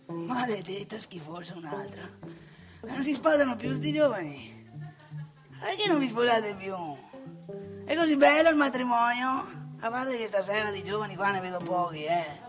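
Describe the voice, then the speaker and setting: Una donna che interpreta in un monologo teatrale comico un vecchio marito chiacchierone, vanitoso e brontolone, con del Nordest italiano e modi enfatici. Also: female voice, on the high side, expressive, normal speed, over music, natural voice. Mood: boastful, grumbling. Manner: chatty, emphatic, repetitive, tag questions and exclamations. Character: garrulous old married man, nagging, boastful, grumbling father. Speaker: stage monologue performer. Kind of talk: comic theatre monologue addressed to the audience.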